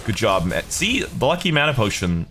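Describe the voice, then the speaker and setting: A man's voice: medium pitch, big pitch swings, normal speed, pushed and bright, faint music behind. A young man giving casual, jokey gaming commentary, slangy and sweary, talking to himself and his viewers. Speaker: video game streamer.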